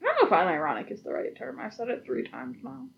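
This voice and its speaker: A woman's voice: on the high side, wildly swooping pitch, quick pace, gravelly, dull audio. A woman co-hosting a podcast, joking around with teasing, wry asides. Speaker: podcast co-host. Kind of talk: casual banter.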